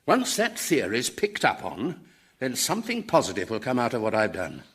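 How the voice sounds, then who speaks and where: An indignant, hugely animated professor. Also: male voice, medium pitch, moderate pace, slightly rough, exaggerated voice. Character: earnest, indignant, principled older male professor in hell. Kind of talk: radio sitcom acting.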